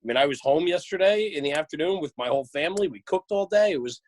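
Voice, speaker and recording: A man's voice: a little high, lively intonation, fast, poor audio. A man chatting casually and reflectively as a guest on a podcast.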